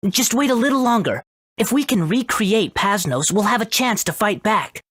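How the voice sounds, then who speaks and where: An actress voicing a commanding rebel ally in a video game, emphatic and directive as the character gives orders. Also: female voice, medium pitch, expressive, moderate pace, breathy edge, no room sound, clean studio sound. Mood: urgent, commanding.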